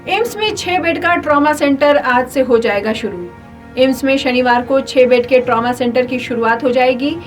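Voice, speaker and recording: A woman's voice: high-pitched, distant mic. A woman presenting a scripted radio news bulletin.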